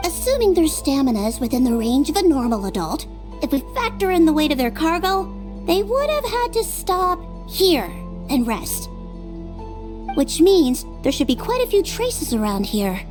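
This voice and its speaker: A woman voicing a gentle, analytical non-human detective who reasons aloud, in video game dialogue. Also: high-pitched, big pitch swings, moderate pace. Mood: thoughtful. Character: young female Melusine detective, analytical, gentle. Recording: game audio with soft music.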